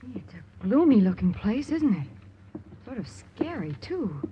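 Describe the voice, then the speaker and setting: A woman's voice: on the high side, very animated, unhurried. An actress playing a nervous but spirited young woman in a 1940s radio drama, with a wary, wry manner. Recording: dull, hissy old radio broadcast.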